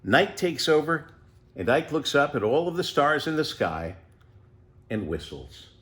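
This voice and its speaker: Man reading as a contented old storybook tortoise, very expressive. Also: on the high side, measured pace, voice pushed up. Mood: serene, savouring. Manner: savouring, leisurely, full of wonder. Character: contented, unhurried eighty-year-old pet tortoise, male, storybook narrator.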